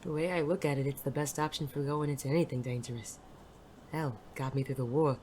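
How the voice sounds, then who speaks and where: An actor playing a world-weary, wisecracking private detective in an audio drama, dry, sardonic and casually profane, with a soft spot underneath. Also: mid-range voice, fairly even intonation, fairly quick, a little hiss or hum, natural voice. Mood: sardonic, wary.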